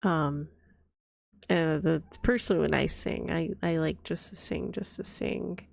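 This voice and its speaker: A woman streamer with a cold chats softly and rambles, her voice hoarse and scratchy, giggling and coughing often. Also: unhurried, dry recording, studio quality. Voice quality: deep, scratchy voice. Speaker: vtuber streamer. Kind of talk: soft-spoken casual stream chat.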